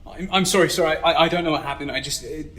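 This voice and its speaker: A man's voice: on the high side, fast, fairly bright tone, reverberant, lightly acted. A man playing a nervous, scatterbrained young starship security ensign in a sci-fi audio drama, stammering and apologetic. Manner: stammering, flustered.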